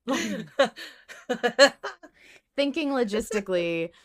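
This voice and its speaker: A woman speaks as a podcast co-host in relaxed, conversational discussion. Her pitch sits in an average range for a woman, and she works through her points with dry, practical humor. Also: slow pace. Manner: wry, pragmatic reasoning. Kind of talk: casual podcast discussion of a fiction show.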